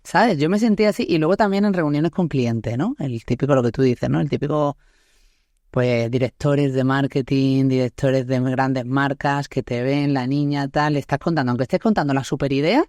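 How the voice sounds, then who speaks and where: A woman's voice: extremely low pitch, moderate pace, darkish tone, no echo, clean studio sound. A woman in her thirties with an Andalusian accent from Málaga, hosting a podcast in a chatty, laughing way full of tag questions. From Andalusia, Spain. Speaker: podcast host. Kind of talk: casual chat.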